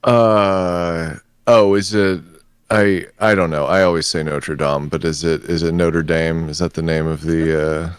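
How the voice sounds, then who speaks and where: A man's voice: low voice, steady tone, measured pace, clean tone, no room sound, studio quality. A man with an American accent chatting casually as a guest performer on a livestream. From United States. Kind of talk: casual chat.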